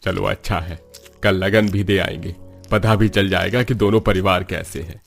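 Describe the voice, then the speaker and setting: A man's voice: low-pitched, steady tone, normal speed, creaky, faint music behind. A man voicing a middle-aged father and husband in a cartoon, concerned, questioning and reproachful. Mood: approving.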